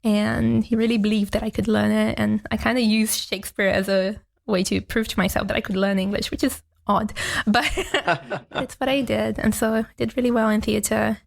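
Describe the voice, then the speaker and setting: A woman's voice: medium pitch, level tone, normal speed, vocal fry. A young woman from Brazil whose first language is Portuguese, chatting reflectively as a podcast guest and laughing often. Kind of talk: casual interview chat.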